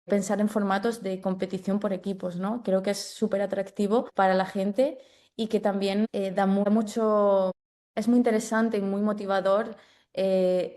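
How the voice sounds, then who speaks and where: A woman's voice: medium pitch, mellow. A woman answering as an interview guest in a conversational, explanatory and reflective way, often checking for agreement. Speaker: sports development officer, interview guest.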